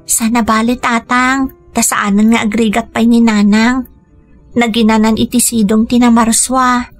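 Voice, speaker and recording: A woman's voice: on the high side, flat delivery, unhurried, faint music behind, lightly acted. An actress playing a tender, hesitant, emotional young woman in a radio drama. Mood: concerned. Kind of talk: Ilocano radio drama acting.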